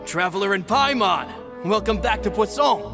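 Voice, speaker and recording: A man's voice: sits high, animated, normal speed. An actor voicing a polite, dutiful young male assistant who speaks formally and deferentially. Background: game background music.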